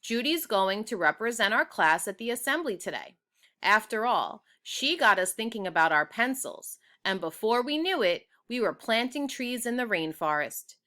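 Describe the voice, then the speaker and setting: A woman's voice: on the high side, big pitch swings, normal speed, bright, forceful tone, pitched above the performer's own voice. A woman voicing a kindly, upbeat male third-grade teacher, friendly and reassuring, in a children's storybook. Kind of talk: children's storybook read aloud.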